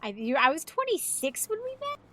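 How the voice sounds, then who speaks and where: A woman's voice: high-pitched, wildly swooping pitch, brisk, bright, forceful tone. A woman in her thirties, a live streamer chatting casually, rambling and swearing freely. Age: 30s. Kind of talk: casual chat.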